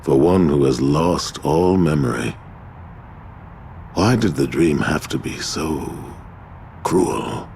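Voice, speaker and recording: An actor playing a huge, weary god of discord in a video game, speaking in a brooding, solemn, sorrowful and halting way. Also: male voice, rumbling low, lively intonation, gravelly, darkish tone, a little hiss or hum, full character voice. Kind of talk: dramatic video game voice acting.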